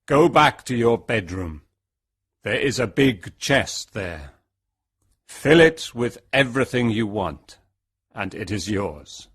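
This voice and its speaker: A huge, stern beast growls out slow, heavy threats in a storybook reading, as a reader puts him on. The voice drops well below an ordinary speaking voice and hits the key words hard. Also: mid-range voice, very animated, a bit bright, breathy edge, pitched below the performer's own voice, exaggerated voice. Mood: gruff. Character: huge angry male beast, stern and menacing.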